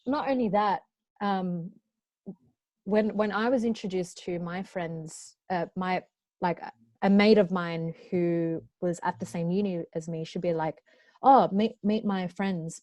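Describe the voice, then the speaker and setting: A young woman in her twenties with an Australian accent co-hosts a podcast. Her voice sits a little low and she talks in a casual group-chat way. The sound is dry and close, with no room echo. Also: warm tone. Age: 20s. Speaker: podcast co-host.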